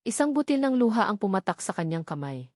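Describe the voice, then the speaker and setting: A woman's voice: mid-range voice, very animated, brisk, darkish tone, no room sound. Woman narrating a dramatic story, dry sound.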